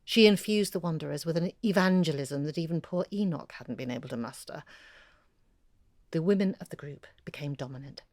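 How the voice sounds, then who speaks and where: A woman's voice: deep voice, a little fast, darkish tone, no echo, clean studio sound. An actress playing a woman who reads out her own long email as a confiding storyteller in an audio drama. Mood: measured. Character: Hilary, a woman letter-writer recounting local history. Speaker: letter writer.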